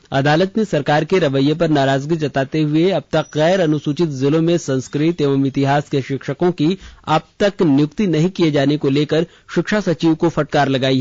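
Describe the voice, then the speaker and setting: A man reading a scripted regional news bulletin in a formal, measured way. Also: a little high, a little mellow, no echo. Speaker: radio news reader. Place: radio studio. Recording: slightly dull, band-limited radio audio.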